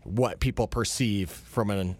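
Low-voiced measured male co-host. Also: darkish tone. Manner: measured, explains at length. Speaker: radio co-host. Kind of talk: casual radio talk.

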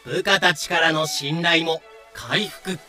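A man narrates a story in a high, bright voice. He reads scripted storytelling lines with light music underneath. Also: takes it slowly. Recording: music bed behind voice.

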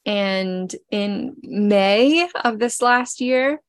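A woman of forty hosting a podcast, speaking gently and from the heart with many pauses while she gets over a cold. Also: mid-range voice, clean tone, no echo, clean studio sound. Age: 40s. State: congested, getting over a cold. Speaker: podcast host. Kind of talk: personal reflective podcast talk.

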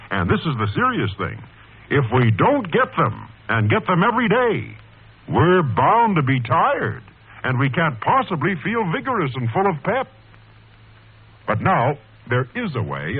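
A man announcing in a 1940s radio style, with an emphatic, earnest delivery for sponsor pitches and adventure serial narration. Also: husky. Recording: muffled vintage radio broadcast recording.

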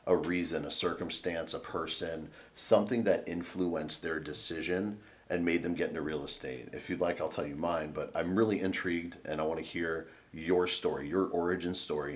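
A man with a very low voice hosts a podcast interview. He sounds upbeat and playful and often restarts his questions as he chats. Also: fairly even intonation, normal speed. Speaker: podcast host. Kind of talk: casual interview chat.

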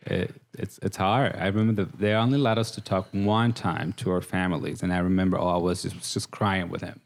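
A man's voice: low-pitched, flat delivery, a little fast, gravelly. Earnest man with a Guatemalan accent. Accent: Guatemalan Spanish. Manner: earnest, emotional, laughs often. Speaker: reality show contestant, hairstylist. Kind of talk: casual interview talk.

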